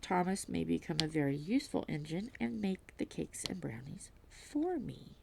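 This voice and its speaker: A woman vlogging at home while diamond painting, reading aloud and chatting in a self-deprecating way, with a slightly stuffy nose and frequent soft whispers. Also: lowish voice, big pitch swings, moderate pace, creaky, background noise. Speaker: crafting vlogger.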